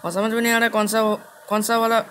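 A young man giving casual gameplay commentary as a video host, halting and repeating his phrases as he goes. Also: sits high, completely flat delivery, pushed and bright. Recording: clearly noisy game capture.

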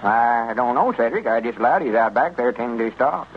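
A man's voice: medium pitch, animated, brisk, lightly acted. Guy acting grumbly folksy southern country storekeeper, old radio comedy, dull sound w/ some hiss. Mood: wry. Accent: rural Southern US. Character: exasperated rustic male country storekeeper. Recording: muffled, noisy 1930s-40s radio recording.

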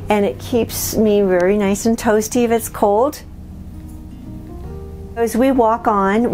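Homeowner, female, middle-aged, native Spanish speaker from Cuba; casual house-tour delivery, mid pitch, music underneath. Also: darkish tone. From born in Cuba. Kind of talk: casual guided home tour. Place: small wood-panelled house interior. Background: background music.

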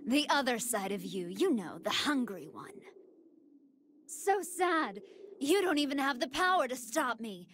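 An actress playing a hungry, volatile and menacing female android demon in a video game, with drawn-out, theatrical delivery. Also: sits high, sing-song, fairly bright tone, slight background noise, heavily acted. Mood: gloating, sinister. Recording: music under the voice.

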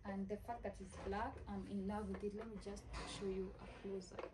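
A woman's voice: medium pitch, flat delivery, fairly quick. Young woman doing a haul on her couch, chatty, phone mic from a bit away, noisy background hiss. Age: young adult. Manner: chatty, repeats "yeah" and "this is how it looks like". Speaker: lifestyle vlogger. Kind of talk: casual haul unboxing chat. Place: living room, seated on a sofa. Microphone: phone camera a few feet away.

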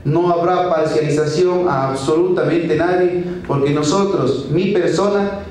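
A man's voice: on the high side, measured pace, darkish tone. Ecuadorian guy official speech, really echoey, mic sounds far off. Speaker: local official. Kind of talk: formal public speech. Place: municipal session hall. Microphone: podium microphone. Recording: echoey hall, distant mic, faint noise.

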